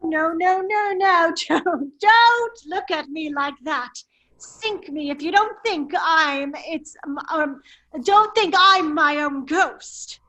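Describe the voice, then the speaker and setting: A foppish, secretly daring gentleman played by a woman in a radio drama. The voice sits extremely high and takes its time, with affected courtesy and a giggly, teasing edge. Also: takes it slowly, heavily acted, low-quality recording. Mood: feigned bashfulness. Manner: affectedly polite, drawling, giggly. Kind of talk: theatrical radio drama table read.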